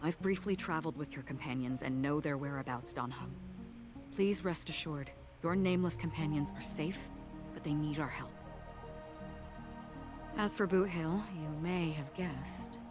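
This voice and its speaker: Game cutscene: actress as a quiet, solemn woman wanderer, soft hushed delivery, music bed behind. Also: sits low, moderate pace, lightly acted. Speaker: game character. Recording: game audio with music under it.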